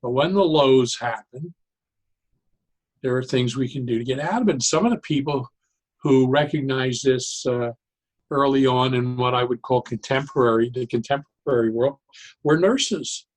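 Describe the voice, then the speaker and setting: A man explaining ideas conversationally as an interview guest, in an animated, halting way, often repeating and interrupting himself. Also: mid-range voice, low-quality recording. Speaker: podcast guest, psychologist turned joy advocate.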